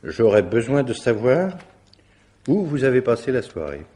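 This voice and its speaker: An actor playing a courteous, probing police officer in a French radio mystery drama, formal and politely insistent. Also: male voice, mid-range voice, very animated, normal speed, dark tone, husky.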